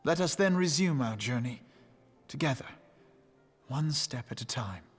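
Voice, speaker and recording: A man playing an earnest, noble young fantasy hero in a game, with formal, archaic, measured speech. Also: mid-range voice, animated, slightly rough, soft background music. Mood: solemn, resolute. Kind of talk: scripted fantasy game dialogue.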